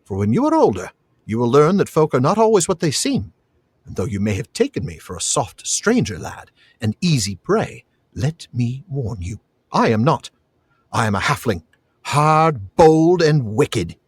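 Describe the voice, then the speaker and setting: A man's voice: medium pitch, big pitch swings, moderate pace, slightly rough, dry recording. A man reading a novel aloud, voicing a small, plucky young halfling with a playful, mock-menacing manner.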